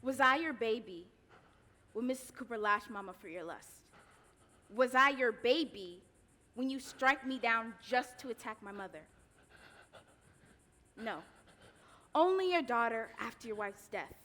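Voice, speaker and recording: An actress on a theatre stage playing a wounded yet defiant enslaved daughter who speaks pointedly. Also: female voice, a little high, expressive, unhurried, pauses often, bright, forceful tone. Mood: defiant, bitter. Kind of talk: stage play acting. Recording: reverberant theatre stage recording.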